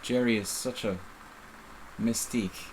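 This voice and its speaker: A man's voice: medium pitch, fairly even intonation, a little slow, slight background noise, poor audio. A middle-aged man with a faint Philadelphia accent, casually commenting on his own live chess game in a rambling, self-interrupting way. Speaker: chess streamer.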